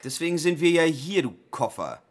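An actress playing a restless, morbid patient who rouses and needles others in a radio play, theatrical and sardonic. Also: female voice, low-pitched, very animated, moderate pace. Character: restless, morbid ringleader among waiting emergency room patients. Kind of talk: German radio play acting.

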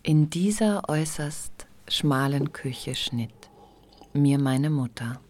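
A woman playing the composed narrator of a German radio play, reading literary prose in a measured, matter-of-fact way. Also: low-pitched, lively intonation, darkish tone, full character voice. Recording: clearly noisy background.

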